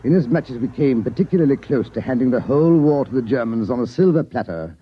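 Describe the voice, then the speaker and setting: Pompous, excitable British colonel. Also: male voice, medium pitch, quick pace, husky. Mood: resigned. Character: blustering British army colonel, excitable, resigned, stuffy. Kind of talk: 1950s radio acting. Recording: muffled old radio recording, faint noise.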